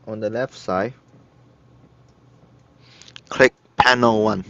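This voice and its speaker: Low-voiced man, halting software tutorial, dull audio. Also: steady tone, vocal fry. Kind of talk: step-by-step instructional narration. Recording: dull lo-fi computer recording. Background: screen-recorded tutorial voice-over.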